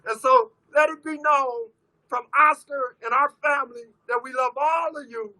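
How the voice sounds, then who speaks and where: Hoarse rally speaker from Oakland, male, very high pitch, tense bright tone, leads chants. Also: moderate pace, poor audio. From Oakland, California. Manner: call-and-response chants with the crowd. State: hoarse, losing his voice. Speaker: rally speaker for families of police violence victims. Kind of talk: impassioned rally speech.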